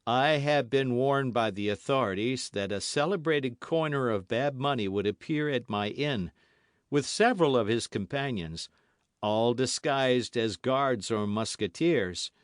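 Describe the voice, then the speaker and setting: A man's voice: medium pitch, unhurried, no room sound, clean studio sound, voice pushed up, lightly acted. A man reading aloud, voicing a frightened innkeeper who pleads pitifully for mercy. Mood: pleading. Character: trembling, pleading middle-aged male innkeeper.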